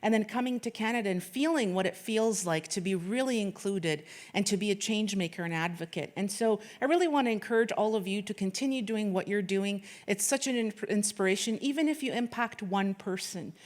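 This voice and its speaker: A woman's voice: mid-range voice, fairly quick, hardly any pauses. A woman in her late thirties, from Afghanistan, giving an earnest speech at an event with frequent restarts and fillers. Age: late 30s. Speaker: corporate sponsor speaker.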